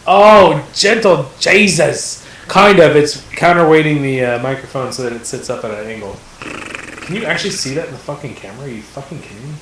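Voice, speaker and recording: A man's voice: on the high side, brisk, a bit bright. A man in his thirties hosting a casual podcast with friends, loose and profane, laughing between jokes. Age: 30s. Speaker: podcast host. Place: apartment room. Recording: echoey room with faint noise.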